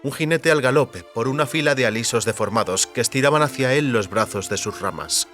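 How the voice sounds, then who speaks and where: A man reading scripted literary narration for an audiobook with measured, deliberate phrasing. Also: medium pitch, a bit bright. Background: faint music.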